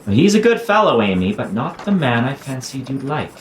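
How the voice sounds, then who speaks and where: A man playing an idle, lovelorn young gentleman in a period audio drama, bantering wryly with a wounded edge. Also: mid-range voice, big pitch swings, fairly quick, a little hiss or hum, lightly acted. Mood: probing, disapproving.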